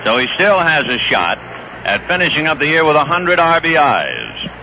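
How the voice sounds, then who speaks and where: Bright-voiced man calling baseball on old radio. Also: on the high side, very animated. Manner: brisk, conversational play-calling. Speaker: play-by-play announcer. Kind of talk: live radio baseball commentary. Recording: dull old radio broadcast with faint hiss.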